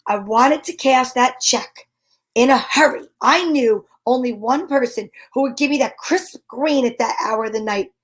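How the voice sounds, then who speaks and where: A woman's voice: on the high side, moderate pace, close to an everyday voice. A woman playing a wry, wisecracking female private eye in an old-time radio detective drama. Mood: wry narrating. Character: wry, breezy woman private eye narrating her case.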